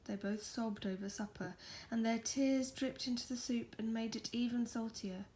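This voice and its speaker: A woman reading a picture book softly in an ASMR storytime style, often slipping into a whisper and cooing to a pet. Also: a little high, fairly even intonation, normal speed. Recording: faint background noise.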